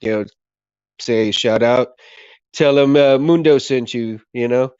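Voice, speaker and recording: Hoarse man, rambling livestream chat, middle pitch, muffled dry audio. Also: a little slow, a little mellow, no echo. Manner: rambling, repeats himself, friendly, thanks viewers often. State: hoarse, losing his voice, coughing. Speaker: livestream host. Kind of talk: casual livestream chat with viewers.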